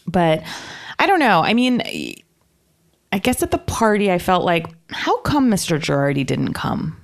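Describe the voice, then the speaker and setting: A woman's voice: lowish voice, big pitch swings, unhurried. A woman co-hosting a podcast in casual, gossipy chat, sardonic and given to mockingly quoting other people. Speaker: podcast co-host.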